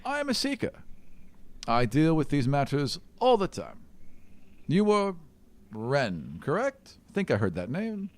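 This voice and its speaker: A man voicing a calm, self-assured dwarf seeker in a tabletop game, speaking formally with faint condescension. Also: fairly high pitch, measured pace, long pauses, voice pushed up. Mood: brisk, dismissive.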